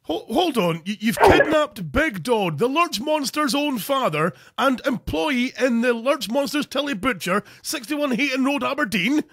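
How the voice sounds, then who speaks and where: A man's voice: very high voice, measured pace, few pauses, husky, no room sound. A Scottish man hosting a crude comic cooking show, narrating recipes with jokes. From Scotland. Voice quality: crude jokey, animated delivery. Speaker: comedy cooking show host.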